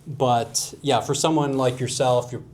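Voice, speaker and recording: A man's voice: medium pitch, fairly even intonation, measured pace, a little mellow. A man casually explaining a product as an audio dealer.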